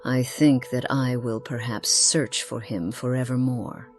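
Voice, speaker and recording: A woman voicing an ageless, secretive noblewoman in a fantasy game, speaking formally with theatrical pauses, refined, wistful and sly. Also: very deep voice, steady tone, darkish tone, soft background music. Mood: mournful, wistful. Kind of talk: scripted game character dialogue.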